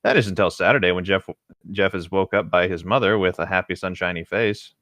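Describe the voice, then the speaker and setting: A man with a fairly low voice reads a story aloud and comments on it casually. The recording is close, with hardly any room sound. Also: brisk, a bit bright, dry recording. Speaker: co-host.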